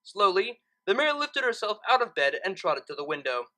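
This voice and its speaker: Enthusiastic, bright-voiced woman host. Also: mid-range voice, sing-song, moderate pace. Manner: enthusiastic, emphatic. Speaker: channel host and story reader. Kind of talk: casual vlog-style update and story narration.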